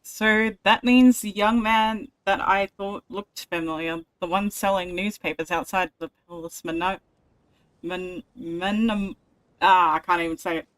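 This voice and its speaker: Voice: mid-range voice, fairly even intonation, unhurried, fairly bright tone, clear, resonant tone, no echo, low-quality recording. A livestreamer giving casual game commentary, thinking aloud about the story.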